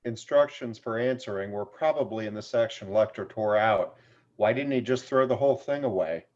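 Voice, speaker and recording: A man's voice: lowish voice, moderate pace, a little mellow, natural voice. A man playing a crime-lab document analyst in a screenplay table read over a lo-fi video call. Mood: focused. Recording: dull, lo-fi video-call audio.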